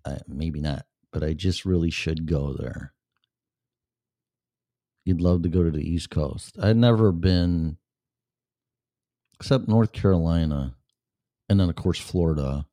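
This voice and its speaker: A man's voice: low voice, a little slow, long pauses, warm tone, no room sound, clean studio sound. A man with a Chicago accent hosting a casual chat show, rambling and blunt, with plenty of swearing. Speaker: show host. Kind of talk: casual livestream chat with viewers.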